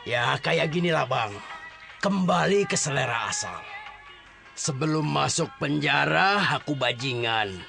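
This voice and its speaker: Audio drama ex-convict, male actor: cheeky and unrepentant, gravelly, unhurried drawl, music playing underneath. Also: a little high, lively intonation, full character voice. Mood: jovial, cheeky.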